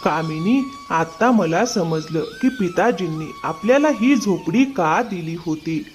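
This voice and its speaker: A man's voice: high-pitched, expressive, slow pace, faint music behind. A man voicing an honest, dutiful younger brother from a village, earnest and plaintive, in a cartoon moral story. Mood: surprised.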